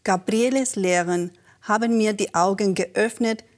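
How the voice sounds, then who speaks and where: A woman presenting a religious program, reading listener letters in a gentle, reflective way. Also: mid-range voice, measured pace.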